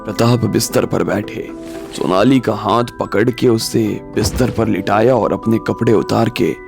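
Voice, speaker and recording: A man's voice: fairly low pitch, steady tone, measured pace, creaky. A man narrating a scripted audio story as its storyteller. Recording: music bed behind the voice.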